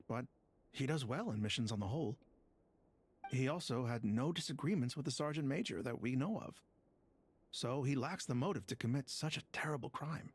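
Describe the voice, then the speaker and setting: A man voicing a dutiful male officer in a video game, reporting in a formal, measured manner. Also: mid-range voice, a little hiss or hum, natural voice. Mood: frank, grave.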